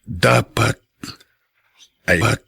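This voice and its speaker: A male actor playing a remorseful, resigned elderly father in a Filipino radio drama, with a gravelly, husky voice. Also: drawn-out words, pushed and bright. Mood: resigned, sorrowful. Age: older. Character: ailing-sounding elderly father, remorseful, resigned, self-sacrificing.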